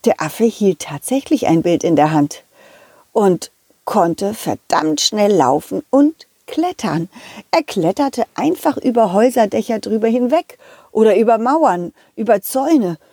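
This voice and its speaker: Animated, husky woman storyteller. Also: mid-range voice, a little slow, no room sound, studio quality. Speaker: storyteller and puppeteer. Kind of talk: animated children's story narration.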